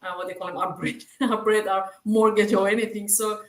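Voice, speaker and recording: A woman's voice: medium pitch, little pitch movement, fairly quick. Woman, Persian accent, second-language English, reassuring group-chat speaker, echoey room. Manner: reassuring, hesitant with many fillers. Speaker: team member sharing experience.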